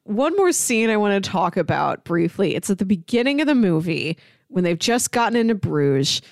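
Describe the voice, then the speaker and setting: A woman's voice: mid-range voice, sing-song, moderate pace, vocal fry, no room sound, studio quality. A woman co-hosting a casual film discussion, retelling scenes conversationally and voicing the characters' lines. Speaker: film podcast co-host.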